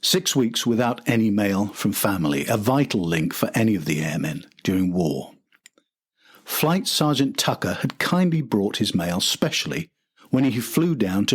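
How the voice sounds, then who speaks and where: Documentary narrator: older male, lower-pitched, husky edge, reading a prepared personal history script. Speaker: podcast narrator and host.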